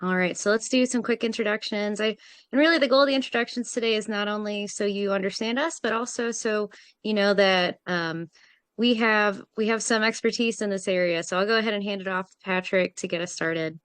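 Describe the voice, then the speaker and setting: A woman's voice: medium pitch, steady tone, brisk, fairly bright tone, smooth, no room sound. A woman hosting a business webinar, guiding the talk in a friendly, organized way with frequent "um"s. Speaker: webinar host, project manager.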